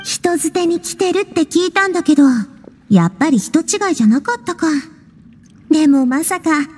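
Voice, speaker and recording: A woman's voice: very high-pitched, expressive, normal speed, a little mellow. An actress playing a frank, big-sisterly young woman in a video game, speaking breezily and directly. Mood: cool, casual. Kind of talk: Japanese video game voice acting. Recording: reverberant hall-like echo. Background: faint music.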